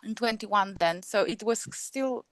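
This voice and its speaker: A woman with a Polish accent, talking as a guest about running a film festival, halting with many restarts and small laughs. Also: mid-range voice, no room sound. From Poland. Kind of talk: casual interview chat.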